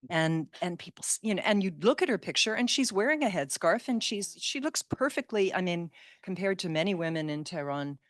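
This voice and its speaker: A woman speaking as a panelist in a discussion, thoughtful and animated. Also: fairly low pitch, brisk, no echo, clean studio sound.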